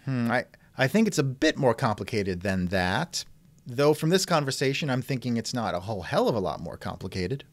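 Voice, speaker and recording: Male host for chat interview: mid-pitched, very expressive range, wry doubter. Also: brisk, no echo. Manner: wry, skeptical. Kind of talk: reenacted text-chat interview, conversational.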